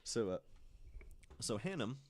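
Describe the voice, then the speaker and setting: A man's voice: medium pitch, very animated, a little slow. A man telling a story casually to friends as a podcast panelist, conversational and checking in with listeners as he goes.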